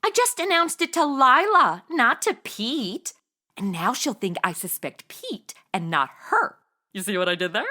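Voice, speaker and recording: A woman's voice: on the high side, very animated, measured pace, pushed and bright, full character voice. A woman playing a bubbly, tactless young amateur sleuth in a comedy audio drama, blurting things out in a gushing, flippant way. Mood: blurting, smug.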